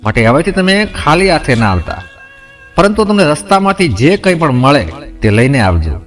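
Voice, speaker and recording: A man's voice: fairly high pitch, big pitch swings, moderate pace, faint music behind. A man voicing a clever, respectful young daughter-in-law in a story, giving confident instructions. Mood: polite, instructing.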